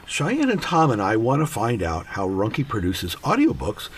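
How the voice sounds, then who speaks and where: A man's voice: on the low side, huge pitch swings, normal speed, slight background noise. A man hosting a panel interview on a radio show, reading his introductions and putting questions to guests.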